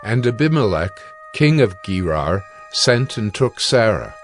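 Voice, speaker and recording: A man narrating scripture from a script in a measured, solemn manner. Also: lowish voice, very animated, faint music behind.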